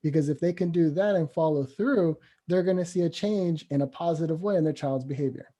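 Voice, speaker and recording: A man who works as a professional home visitor, speaking in a steady, encouraging way and asking follow-up questions in a casual podcast chat. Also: fairly high pitch, brisk.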